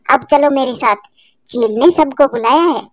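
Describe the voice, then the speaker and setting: A woman's voice: sits high, moderate pace, dry recording, natural voice. A woman voicing a sly, scheming mother crow, defensive and boastful, in a cartoon. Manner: scheming, indignant.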